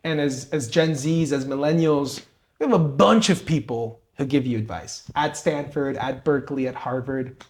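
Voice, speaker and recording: A man's voice: medium pitch, moderate pace, a little mellow. A young man from Peru, co-host of a podcast, telling his story and giving advice in an earnest, motivational way. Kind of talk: conversational interview.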